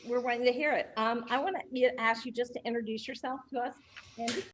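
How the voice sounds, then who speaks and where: A woman's voice: fairly high pitch, fairly even intonation, quick pace. A woman hosting an interview series, asking questions in a chatty, friendly way. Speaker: interviewer host. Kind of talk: casual interview.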